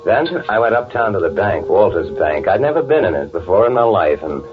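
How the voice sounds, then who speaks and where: An actor playing a guilty, scheming man in an old-time radio thriller, speaking with a halting, nervously polite, confessional delivery. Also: sits low, lively intonation. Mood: confessional, tense. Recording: tinny, band-limited old radio recording, music behind.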